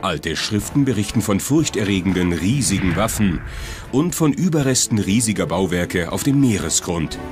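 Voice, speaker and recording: A man's voice: low-pitched, lively intonation, moderate pace. A man reading scripted documentary narration. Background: music under the voice.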